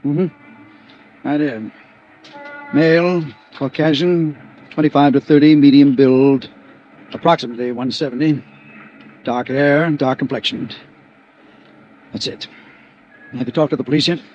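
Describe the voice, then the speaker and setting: A man's voice: fairly high pitch, fairly even intonation, unhurried, pauses often, a little hiss or hum, lightly acted. An American man playing an earnest, methodical arson investigator with a plain-spoken manner in a 1950s radio drama. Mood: matter-of-fact. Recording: dull vintage radio recording.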